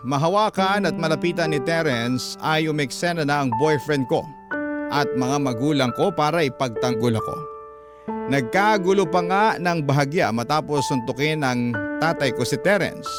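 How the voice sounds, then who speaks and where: A man's voice: fairly high pitch, normal speed. A man working as a radio host, dramatically reading out a listener's letter as a story. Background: prominent music.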